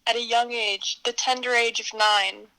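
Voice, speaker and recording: Woman around twenty, bright slightly high voice, casual interview guest, tentative and playful. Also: fairly even intonation, normal speed, clean tone, poor audio. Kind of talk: casual chat.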